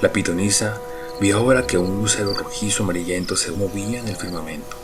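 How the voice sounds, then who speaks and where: A man's voice: sits low, quick pace, music in the background. A man narrating a scripted audio drama as its storyteller.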